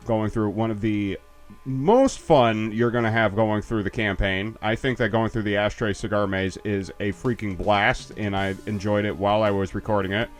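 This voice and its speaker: A man casually chatting and joking as a commentator while a game's credits roll. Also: on the low side. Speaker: let's play commentator. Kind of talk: casual game commentary chat.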